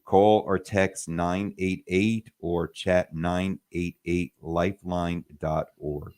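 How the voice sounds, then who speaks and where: A man hosting a casual podcast chat, speaking in an earnest and measured way with frequent pauses. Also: low voice, level tone, a little mellow.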